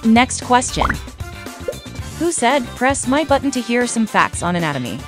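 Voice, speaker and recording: A woman's voice: on the high side, very animated, moderate pace. A woman reading scripted quiz narration as a host, in a voice-over. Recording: music playing behind the voice.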